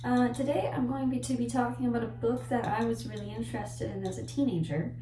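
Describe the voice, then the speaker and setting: A woman's voice: fairly high pitch, fairly quick, warm tone. A woman whose first language is Polish, casually talking about a book and rambling with lots of self-corrections. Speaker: book vlogger. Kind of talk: casual book talk to camera. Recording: echoey room with mic at a distance and music behind.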